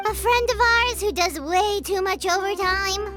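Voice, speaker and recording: A woman voicing a tiny, excitable female fairy companion with a childlike, earnest, exclamatory manner in a video game. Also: extremely high pitch, measured pace. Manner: refers to self in third person, exclamatory. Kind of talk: animated video game voice acting. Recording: music behind the voice.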